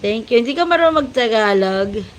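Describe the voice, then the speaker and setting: A woman with a Filipino accent hosting a casual live stream, greeting viewers with repeated shout-outs and mixing Tagalog and English. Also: fairly high pitch, expressive, normal speed. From Philippines. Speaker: livestream host. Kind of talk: casual livestream chat.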